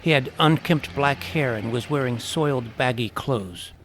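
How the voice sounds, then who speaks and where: A man's voice: mid-range voice, measured pace, fairly bright tone, a little hiss or hum, lightly acted. An actor playing a wry twelve-year-old boy detective who narrates his own adventure in an audio drama. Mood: wry, watchful. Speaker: narrator and lead. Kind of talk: scripted first-person story narration. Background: clear music.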